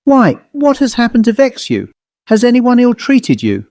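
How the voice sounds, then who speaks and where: A man voicing a gentle but firm mistress of a household who presses her servant to speak plainly. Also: very high voice, huge pitch swings, moderate pace, no echo, voice pushed up, full character voice. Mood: coaxing. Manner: coaxing, then insisting on plain speech.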